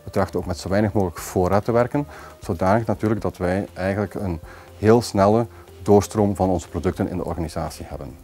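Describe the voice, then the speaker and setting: A man in his forties with a Flemish accent, explaining his metalworking firm in a measured, businesslike corporate interview, recorded on a lapel mic in an office. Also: sits low, warm tone, soft background music. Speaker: company executive. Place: office with glass wall.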